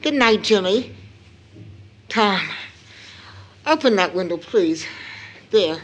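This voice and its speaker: A woman's voice: mid-range voice, expressive, slow pace. A woman acting a tired, loving, anxious mother of two in an audio drama, with tender motherly endearments. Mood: nervous, concerned.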